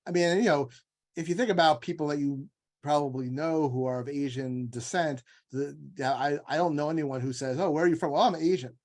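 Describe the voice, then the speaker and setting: A man's voice: fairly high pitch, fairly quick, a little mellow. A man speaking as an author and scholar in an informal panel discussion, explaining in a discursive, emphatic way and often acting out imagined quotes. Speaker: author and legal scholar guest.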